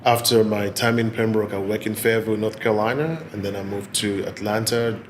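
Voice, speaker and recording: A man in his forties with a Zimbabwean accent, giving measured, articulate answers in an interview. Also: fairly low pitch, steady tone, slight background noise. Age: 40s. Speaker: interviewee, business executive and gallery owner. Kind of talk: oral history interview answers.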